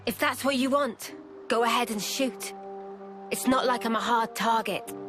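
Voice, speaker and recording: An actress playing a gentle, guarded young woman soldier in a video game cutscene, speaking softly and in a measured way. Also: fairly high pitch, music in the background, natural voice. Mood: resigned, defiant.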